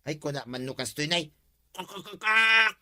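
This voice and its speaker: A man's voice: a little high, expressive, moderate pace, bright, forceful tone. A man with an Ilocano accent acting a brash, mischievous village schemer in a comedy drama. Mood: teasing.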